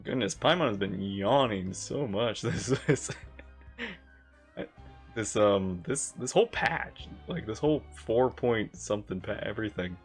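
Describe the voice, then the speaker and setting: A woman giving casual livestream reaction commentary on a game, halting, informal and giggly. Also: extremely low pitch, lively intonation, breathy edge. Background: game music.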